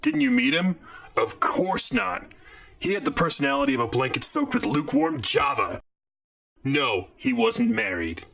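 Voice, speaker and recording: A man playing a blunt, bulky robot coffee maker in an audio drama, grumbling with deadpan sarcasm. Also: mid-range voice, moderate pace, vocal fry, exaggerated voice. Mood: dry, evasive.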